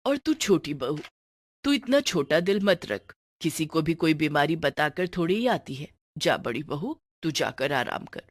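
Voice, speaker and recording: An elderly, gullible mother-in-law played by a woman storyteller speaks in a lowered voice. She fusses affectionately and soothes everyone around her, in the style of a cartoon family tale. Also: big pitch swings, measured pace, no room sound, heavily acted. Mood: concerned, soothing.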